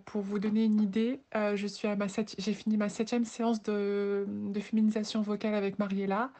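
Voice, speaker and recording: Woman in her twenties, mellow soft-edged tone, fairly even intonation, earnest testimonial, hesitant phrasing. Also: medium pitch. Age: 20s. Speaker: voice therapy client giving a testimonial. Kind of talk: informal spoken testimonial.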